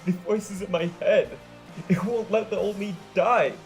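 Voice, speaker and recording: A woman's voice: mid-range voice, steady tone, unhurried, darkish tone, faint music behind, pitched above the performer's own voice, lightly acted, rough recording. A narrator voicing a guilt-ridden teenage girl who wails through choked sobs. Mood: anguished.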